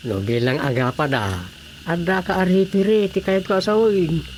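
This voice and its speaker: A man's voice: sits high, normal speed, a little mellow, a little hiss or hum, natural voice. A man playing an easygoing, daydreaming husband in a Filipino radio drama comedy, muttering with a Filipino accent. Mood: casual, unbothered.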